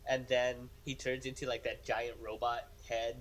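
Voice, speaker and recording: Young man, mid-pitched voice, podcast co-host, casual rambling storytelling, faint background noise. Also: little pitch movement, normal speed, a bit bright. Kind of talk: casual gaming chat.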